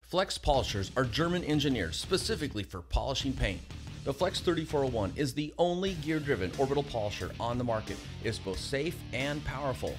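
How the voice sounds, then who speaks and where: A man's voice: medium pitch, expressive, fairly quick, music in the background. A man reading a scripted product commercial as an announcer. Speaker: advert announcer.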